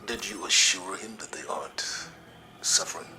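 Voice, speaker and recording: An actor playing a calm, wise military mentor in a video game, speaking in a measured, earnest way. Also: male voice, sits low, in a whisper, heavily acted. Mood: somber, concerned. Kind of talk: dramatic video game dialogue. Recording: loud music behind the voice.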